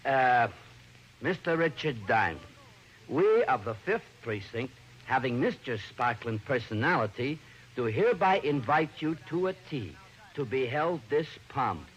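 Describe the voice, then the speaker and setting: A slow-witted New cop blusters through an old radio detective comedy. His delivery swings up and down, with ungrammatical speech and pompous attempts at formality, over a noisy vintage recording. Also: medium pitch, sing-song. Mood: stiffly formal. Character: dim-witted, blustering police sergeant aping formality.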